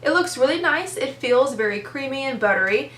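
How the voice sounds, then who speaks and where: A young woman with a congested voice chats eagerly through a makeup review, piling on "super" and "very." Her voice sits a little high. The room has a noticeable echo. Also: animated, a little fast, a bit bright. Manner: chatty, enthusiastic, lots of "super" and "very". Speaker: beauty vlogger. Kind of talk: casual product review chat.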